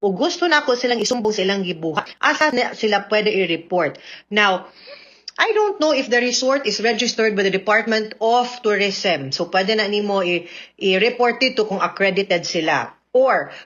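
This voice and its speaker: A woman's voice: a little high, a bit bright. A woman with a Cebuano Filipino accent giving legal advice on the radio in an explanatory, conversational way with frequent tag questions. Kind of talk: spoken legal advice to listeners, mixing in English. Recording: slightly dull radio recording.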